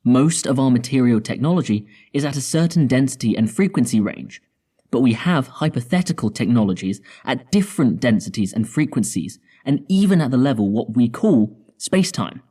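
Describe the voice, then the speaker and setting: A man playing a measured, confident spaceship scientist in an audio drama, explaining in a deliberate way. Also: medium pitch, lively intonation, mellow, breathy edge. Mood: calm, resolute. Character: measured, confident male spaceship scientist proposing drastic plans.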